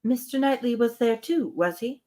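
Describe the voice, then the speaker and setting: A woman reading as a poised young gentlewoman. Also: fairly high pitch, moderate pace, lightly acted. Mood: polite, restrained. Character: poised, sympathetic young gentlewoman, politely probing.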